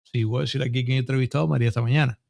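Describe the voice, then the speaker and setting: A Cuban man in his fifties with a Cuban accent, hosting a podcast interview and telling stories in a lively, chatty way full of asides. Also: medium pitch, racing, gravelly. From Cuba. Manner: animated storyteller, full of restarts and asides. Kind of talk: casual conversational interview.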